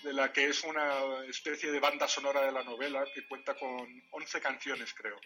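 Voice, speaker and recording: A man's voice: medium pitch, flat delivery, normal speed, over music, low-quality recording. A Moroccan man whose first language is Amazigh, a novelist answering interview questions thoughtfully, with restarts and a habit of adding "¿eh?". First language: Amazigh (Riffian). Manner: thoughtful, hesitant with restarts, frequent "¿eh?" tags. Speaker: novelist guest. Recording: radio interview.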